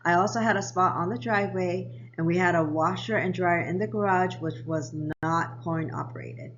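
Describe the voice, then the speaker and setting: A woman of around 60, raised in Japan and Hawaii, narrating her own life story for a vlog in a measured, read-aloud way. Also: on the low side, dull audio, a little hiss or hum. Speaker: vlogger. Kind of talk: scripted personal narration.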